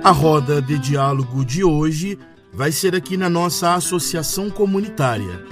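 A man's voice: a little high, lively intonation, moderate pace. A man with a Brazilian accent reading scripted narration as the narrator of a radio series. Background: plucked guitar music.

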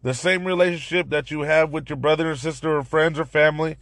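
A man hosts a casual podcast in a hoarse, raspy voice that sits fairly high. He talks excitedly and rambles, restarting his sentences again and again. The sound is dry and close, with no room around it. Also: fast talker, no echo. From Central California. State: hoarse from yelling. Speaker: podcast host. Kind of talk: casual solo podcast talk.

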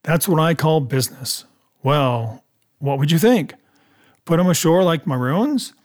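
A male narrator puts on a cunning pirate sea cook for an adventure audiobook. He is commanding and scornful, with emphatic seafaring phrasing and a slightly high male pitch. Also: animated, a little slow. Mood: cold, emphatic. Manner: emphatic, rustic seafaring speech.

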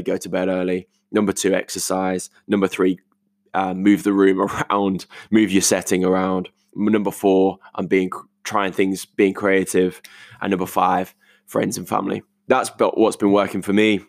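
A young British man with a croaky voice recording a casual, reflective voice note at home, rambling informally as he thinks aloud. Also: sits low, dry recording, studio quality. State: croaky voice today. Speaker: podcast host. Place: multi-purpose living room at home.

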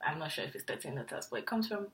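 Bright-voiced chatty woman co-host. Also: sits low, very animated, very fast. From Nigeria. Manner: chatty, laughs easily. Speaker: podcast co-host. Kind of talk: casual chat.

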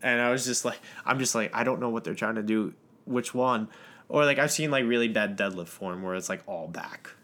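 A man's voice: fairly low pitch, fairly quick, sharp tone. A man hosting a fitness podcast in an easygoing, earnest way. He explains lifting form patiently, leaning on plenty of filler words as he goes. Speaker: podcast host. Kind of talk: casual podcast chat.

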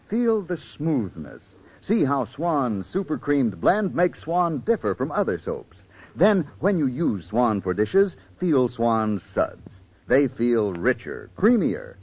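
Vintage radio ad announcer: American man, persuasive and emphatic, lively intonation, slightly raspy, lo-fi broadcast. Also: medium pitch. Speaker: commercial announcer. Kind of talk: 1940s radio advertising pitch.

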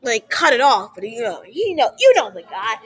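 A woman vlogging casual, rambling opinions in an excitable, easily exasperated way, full of exclamations. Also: on the high side, normal speed. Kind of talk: casual rambling opinion commentary on transit announcements. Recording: busy chattering room with crowd noise. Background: other people's conversation.

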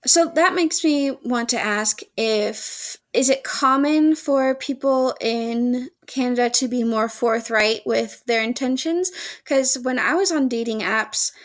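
A woman's voice: on the high side, unhurried. A woman from the United States co-hosting a podcast, chatting casually and asking thoughtful questions. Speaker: podcast co-host.

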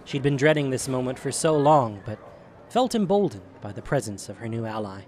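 A man's voice: mid-range voice, lively intonation, fairly quick, darkish tone, slight background noise. A man narrating a scripted audio drama as a storyteller.

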